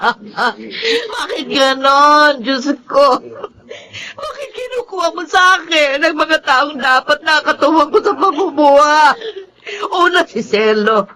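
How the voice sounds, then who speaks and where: A middle-aged Filipino mother in a radio melodrama. Her voice is high and swoops widely. She is emotional, accusing and quick to flare up. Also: expressive, bright, forceful tone, exaggerated voice. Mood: wailing grief. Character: grieving, accusing mother of a poor family, hot-tempered.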